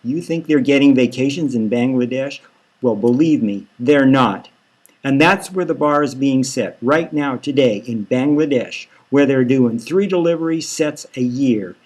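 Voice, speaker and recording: A man's voice: medium pitch, a little slow, darkish tone, pitched below the performer's own voice, natural voice. A man voicing a slick, patronizing male boss in a satirical audiobook, with a folksy, cajoling hard-sell manner. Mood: pushy, scornful. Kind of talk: satirical audiobook character reading.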